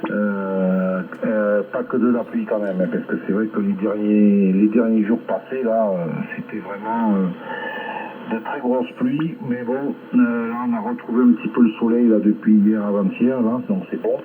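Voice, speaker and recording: A man chatting casually as an amateur radio operator over a shortwave link, rambling hesitantly with long filled pauses. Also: lowish voice, fairly even intonation, phone-quality sound, slight background noise. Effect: shortwave ham radio transmission. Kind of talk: casual ham radio contact chat. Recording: band-limited shortwave radio reception.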